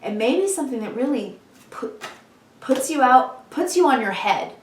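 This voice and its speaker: Hoarse, chatty woman reading tarot. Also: high-pitched, expressive, normal speed, slight background noise. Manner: chatty and encouraging, many "you know"s. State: losing her voice, slightly hoarse. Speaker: tarot reader. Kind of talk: weekly tarot reading to camera. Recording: echoey room, mic at a distance.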